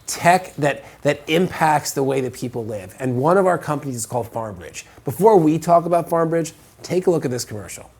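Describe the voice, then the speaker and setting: Male pitch-show host on a video call, gravelly, salesy hard-closer. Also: brisk, few pauses. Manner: energetic salesman, repeats words.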